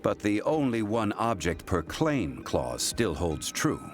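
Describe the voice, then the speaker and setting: Stern learned contract-keeper, very deep voice, expressive rise and fall, formal, game music behind. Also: male voice. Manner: calm, formal, measured. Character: composed, learned man bound by contracts.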